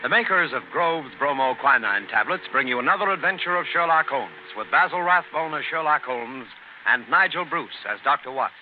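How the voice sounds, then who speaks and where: A man's voice: fairly high pitch, expressive, brisk, phone-quality sound. A man with an American accent delivering scripted announcements in the formal style of a 1930s radio announcer. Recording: noisy, band-limited 1930s radio broadcast.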